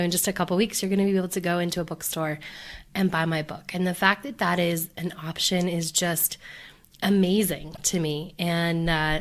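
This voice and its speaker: A woman hosting her own podcast, talking in a loose, upbeat, rambling way with lots of fillers and restarts. Her voice sits on the low side, pulled a bit deeper than usual by a cold. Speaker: podcast host. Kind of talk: casual solo podcast talk.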